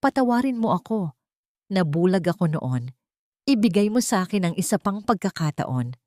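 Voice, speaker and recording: A woman's voice: on the low side, very animated, moderate pace, dark tone, no room sound, studio quality. A woman voicing a once-haughty rich older woman, now begging and trembling, in a dramatic story. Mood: desperate pleading. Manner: pleading, trembling.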